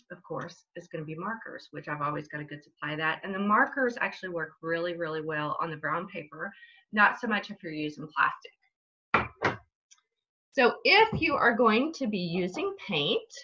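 A woman's voice: lowish voice, bright, forceful tone, dull audio. A middle-aged woman hosting a children's story time, giving craft instructions to camera at home. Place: small bright room at home, indoors.

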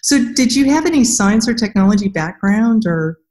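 A woman's voice: mid-range voice, lively intonation, moderate pace, a little mellow. A middle-aged woman hosting a casual interview on a video call, talking conversationally. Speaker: podcast host interviewing an author. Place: indoors against a plain wall, video call.

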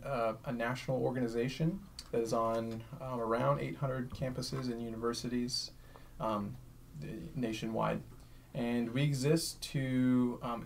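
Soft-voiced man with creaky edge. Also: medium pitch, slight background noise. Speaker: podcast guest, campus ministry worker. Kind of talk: casual chat.

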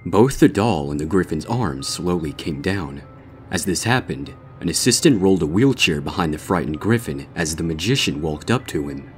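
A man narrates scripted horror fiction in a very low register. Faint music runs beneath the reading. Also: animated, moderate pace.

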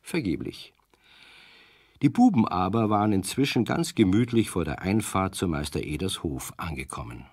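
A man's voice: low voice, lively intonation, moderate pace, a little mellow, husky, no room sound. A man narrating a children's radio play with an easy storytelling cadence.

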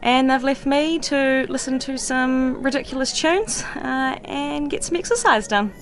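A woman in her thirties narrates a vlog in a casual way. Her voice sits on the high side, and music plays clearly behind her. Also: a little slow. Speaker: sailing vlogger and narrator. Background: music and boat sounds.

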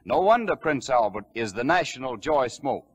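A man's voice: mid-range voice, very animated, normal speed, husky. A man announcer reading a sponsor's ad in an emphatic salesman's delivery on an old 1940s radio broadcast.

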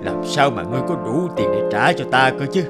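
A man's voice: medium pitch, expressive, a little fast, loud music behind the voice, natural voice. A man voicing a cruel, scheming rich landlord. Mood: haughty, menacing. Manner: haughty, threatening, turning fawning before officials. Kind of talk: animated folk tale dubbing.

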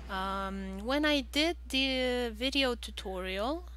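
A woman's voice: on the high side, slow, fairly bright tone, slight background noise. A woman giving casual game walkthrough commentary, thinking aloud in a hesitant way. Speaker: gaming video host.

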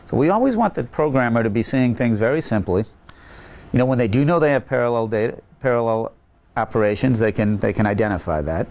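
A man's voice: medium pitch, lively intonation, quick pace, a little mellow. A middle-aged man earnestly explaining technical ideas to camera, often restarting and repeating words, on a lapel mic in a meeting room. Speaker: chip company executive.

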